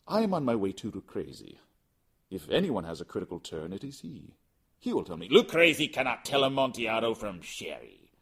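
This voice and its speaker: A man's voice: mid-range voice, breathy edge, voice pushed up, heavily acted. A man voicing a vengeful nobleman in a gothic storybook, theatrical and falsely solicitous as he coaxes a friend. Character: vengeful nobleman feigning warm friendship, sly and coaxing.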